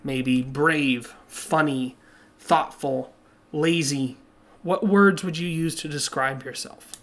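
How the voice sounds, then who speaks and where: A man teaching a recorded class lesson over slides, with a slightly hoarse voice and a plain, patient way of explaining that uses many "uh" fillers. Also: a little high, takes it slowly, fairly bright tone.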